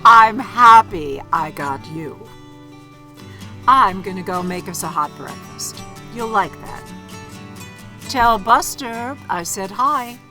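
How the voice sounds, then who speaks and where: Woman playing a slow, gentle, patient wife. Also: mid-range voice, animated. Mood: tender, reassuring. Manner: gentle, reassuring. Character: patient, tender, reassuring wife of a forgetful man. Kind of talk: naturalistic short film drama acting. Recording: guitar music clearly behind.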